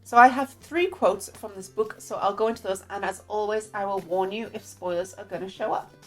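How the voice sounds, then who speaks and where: A woman's voice: medium pitch, brisk, a bit bright, faint music behind. Chatty northeast English woman reviewing books. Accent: northeast English, mixed with other English accents. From northeast England. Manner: chatty, candid, reads quotes aloud. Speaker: book reviewer. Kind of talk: casual book review.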